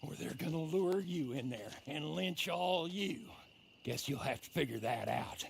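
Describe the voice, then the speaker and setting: An actor playing a sly, folksy, clapped-out old drifter with an American drawl in a Western game cutscene. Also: male voice, a little high, animated, unhurried, creaky, slight background noise. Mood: grim, taunting. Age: older. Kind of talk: video game cutscene acting.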